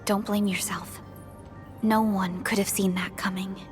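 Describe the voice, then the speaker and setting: A woman voicing a calm, formal young company supervisor in a video game. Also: medium pitch, unhurried, lightly acted. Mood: reassuring. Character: composed young female industrial company supervisor. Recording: faint music behind the voice.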